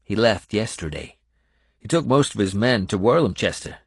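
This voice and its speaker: A male reader puts on a gruff fighting man from a historical audiobook. He is wry, blunt and earthy. The voice is husky and moves widely in pitch, with a loyal soldier's grim humour. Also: expressive, normal speed, dry recording, studio quality. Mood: matter-of-fact.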